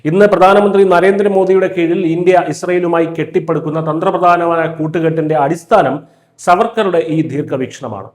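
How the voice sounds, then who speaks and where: A man's voice: fairly high pitch, steady tone, brisk, echoey room. A man reading a scripted news voice-over in a firm, emphatic way. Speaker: news narrator.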